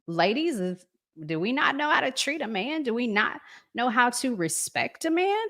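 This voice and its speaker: A woman's voice: a little high, very animated, normal speed, fairly bright tone. A woman hosting a casual live chat, talking conversationally and reflectively and putting questions to her listeners. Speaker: host reading and answering viewer comments.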